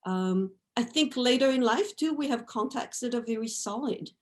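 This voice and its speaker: A woman's voice: fairly high pitch, animated, normal speed, a little mellow. Woman in her fifties. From France. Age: 50s. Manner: thoughtful, often adds "right?" and "you know". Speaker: guest artist. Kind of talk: reflective interview talk.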